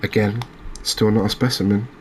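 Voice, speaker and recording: A man giving relaxed ASMR commentary over a fishing video game, chatting to viewers and often checking in with tag questions. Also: fairly low pitch, moderate pace, slight background noise. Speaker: gaming video host.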